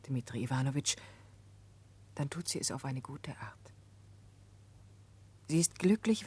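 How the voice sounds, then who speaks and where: An actress in a 1960s German radio drama playing an earnest, compassionate confidante, speaking gently and deliberately. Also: female voice, low-pitched, husky, full character voice. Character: earnest, compassionate woman, a calm moral confidante. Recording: noisy 1960s radio recording.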